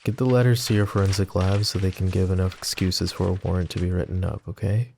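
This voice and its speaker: A man playing a flirty, possessive mafia boss who is smitten and vulnerable, speaking in soft, teasing, intimate ASMR roleplay. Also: deep voice, fairly even intonation, fast talker, slight background noise. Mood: hushed, earnest. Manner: soft teasing banter with breathy chuckles.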